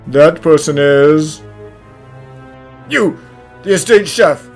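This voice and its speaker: A man acting out a detective's accusation, his voice swinging up and down with lively, theatrical emphasis. Faint music plays behind him. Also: fairly high pitch, slow pace. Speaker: detective in a fan dub. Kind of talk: fan dub voice acting.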